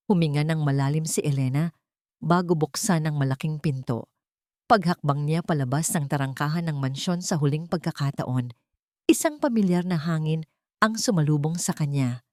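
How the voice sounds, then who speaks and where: A woman's voice: low voice, a little mellow, no room sound, clean studio sound. A woman reading scripted, dramatic narration as a storyteller.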